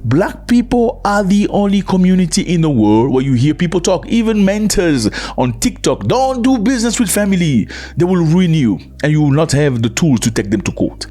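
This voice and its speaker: Emphatic man with a husky, lively voice. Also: high-pitched, normal speed, few pauses. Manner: emphatic, repeats points, acts out quoted voices. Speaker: commentator. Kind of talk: animated commentary monologue.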